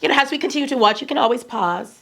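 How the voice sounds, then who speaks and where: A woman's voice: fairly high pitch, animated, rapid-fire, a bit bright. A woman in her forties with a Nigerian accent, chatting casually to camera as a fashion vlogger, blunt and candid, asking herself rhetorical questions. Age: 40s.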